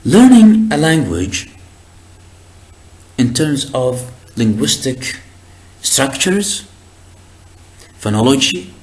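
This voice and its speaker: A man whose first language is Arabic, giving a recorded lecture in a deliberate, explanatory way with frequent hesitations and restarts. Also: mid-range voice, very animated, darkish tone.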